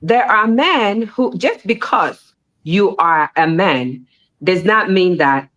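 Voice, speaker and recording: A woman speaking casually on a discussion panel, animated and repetitive, her pitch rising sharply when she makes a point. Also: slow.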